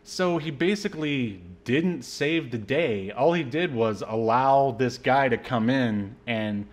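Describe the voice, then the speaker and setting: A man's voice: medium pitch, slow pace. A man co-hosting a podcast, chatting casually about films in a wry, joking way. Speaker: podcast co-host. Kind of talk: casual movie-review chat.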